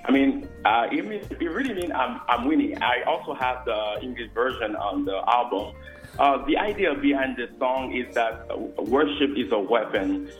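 A man's voice: mid-range voice, lively intonation, highs cut off, soft background music. Earnest man in a roomy echo. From Haiti. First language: Haitian Creole and French. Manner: earnest, halting, many fillers. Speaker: guest musician and worship leader. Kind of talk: radio interview answers.

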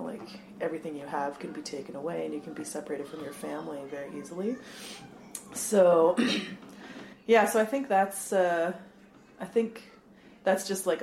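A woman speaking reflectively in casual conversation, halting and full of fillers. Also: low voice, vocal fry, a little mellow, a little hiss or hum. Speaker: guest. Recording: echoey room.

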